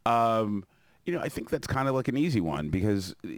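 A man with a very deep voice that still swings widely in pitch as he talks. He chats in a laid-back, self-mocking way and laughs easily. The studio mic gives a close, dry sound. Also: big pitch swings, brisk, no echo. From New York. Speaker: guest DJ and radio host. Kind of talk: casual radio chat. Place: radio studio. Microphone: studio microphone.

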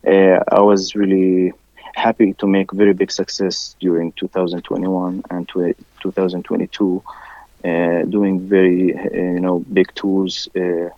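Deep flat-voiced man, Arabic native. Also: no echo, highs cut off. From village near Haifa, raised there from first grade. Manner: halting, many fillers and restarts. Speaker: musician guest. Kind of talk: interview.

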